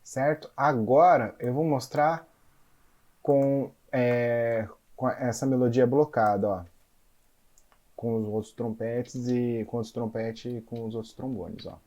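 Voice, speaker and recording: A man's voice: medium pitch, steady tone, slow, darkish tone, dull audio. A man, a conductor and music teacher, explains a big band score in a casual, explanatory way and keeps checking in with his listeners. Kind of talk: explaining a score in an educational concert video.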